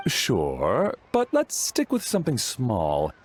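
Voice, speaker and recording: A man's voice: mid-range voice, huge pitch swings, slow pace, breathy edge, full character voice. A man playing a polite, dutiful household butler in a video game, speaking formally and obligingly. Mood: polite, agreeable. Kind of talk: English video game voice acting.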